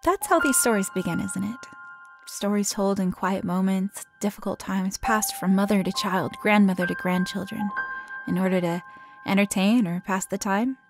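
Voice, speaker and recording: A woman's voice: mid-range voice, moderate pace. A woman hosting a storytelling show, reading scripted narration. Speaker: host. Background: plucked guitar music.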